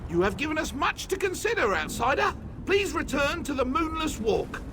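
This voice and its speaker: A man playing a solemn, formal elf council elder in a video game, with a grave, measured manner. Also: very high-pitched, big pitch swings, noisy background.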